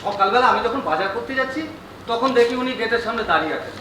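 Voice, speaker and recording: A man's voice: extremely high pitch, lively intonation, racing, pushed and bright, exaggerated voice. Humble, talkative manservant, male stage actor, emphatic and piercing, distant miking in an echoing theatre. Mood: pleading, explanatory. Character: talkative, humble male servant of a film star, theatrically earnest. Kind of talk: projected stage drama acting. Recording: echoey theatre hall, distant mic, faint noise.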